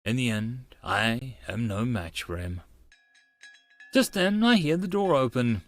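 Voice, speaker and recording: A man's voice: medium pitch, sing-song, measured pace, dry recording. A man reading scripted first-person story narration as an audiobook narrator, in a dramatized style.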